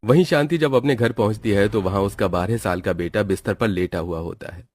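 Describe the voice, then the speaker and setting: A man's voice: lowish voice, fairly quick, darkish tone. A man reading scripted narration for a cartoon moral story as its storyteller. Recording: background music under the voice.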